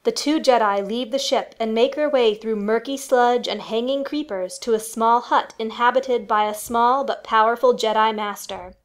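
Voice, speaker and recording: A woman's voice: a little high, normal speed, hardly any pauses. A woman reading scripted, dramatic narration for a family radio play.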